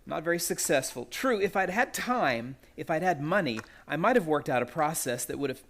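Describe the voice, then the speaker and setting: A man's voice: a little high, expressive, fairly bright tone. A man playing an anxious, polite young chemist in a radio play, speaking in a hesitant, tormented way. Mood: weary confiding. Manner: hesitant, nervous, halting.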